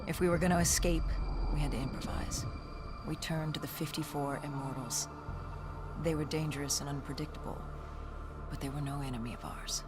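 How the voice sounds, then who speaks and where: An actor playing an augmented soldier in a video game, haunted and resolute, recounting past missions in grave, measured storytelling. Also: medium pitch, steady tone, lightly acted. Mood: subdued, resolute. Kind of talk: dramatic game cutscene narration. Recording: music under the voice. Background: music.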